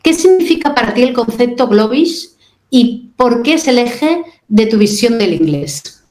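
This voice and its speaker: A woman in her fifties hosting a radio interview, asking questions and reflecting in a steady way. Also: on the high side, measured pace, roomy sound. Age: 50s. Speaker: radio host.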